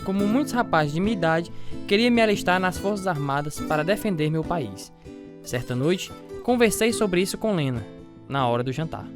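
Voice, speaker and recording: A man's voice: sits high, lively intonation, moderate pace. A man playing an earnest former boxer who tells his life story in a radio drama. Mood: reflective. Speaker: actor narrating a radio drama. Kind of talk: first-person dramatised narration. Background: guitar music.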